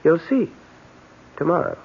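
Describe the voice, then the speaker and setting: Ardent husband, gravelly, swooping. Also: male voice, fairly high pitch, huge pitch swings, measured pace, dull audio, exaggerated voice. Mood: tender, seductive. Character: ardent husband and painter, romantic, easily irritated. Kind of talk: radio drama acting.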